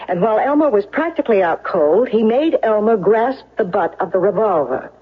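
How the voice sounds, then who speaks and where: A woman's voice: medium pitch, animated, moderate pace, breathy edge. A woman playing a commanding, relentless defense lawyer in a 1970s radio courtroom drama, speaking in an emphatic, accusatory way. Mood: accusing. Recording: dull, lo-fi old radio recording.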